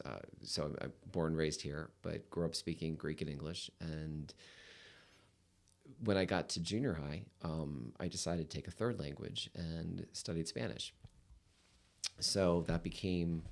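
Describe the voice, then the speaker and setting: A man's voice: extremely low pitch, steady tone, unhurried, vocal fry. A man in his fifties, a real estate attorney, telling his story in a rambling, casual way with lots of fillers. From United States. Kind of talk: casual interview chat.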